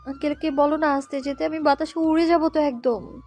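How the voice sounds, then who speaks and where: A woman's voice: high-pitched, steady tone, fairly quick, a little mellow, faint music behind. A woman playing an innocent, curious and easily frightened little girl in an audio drama. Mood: anxious.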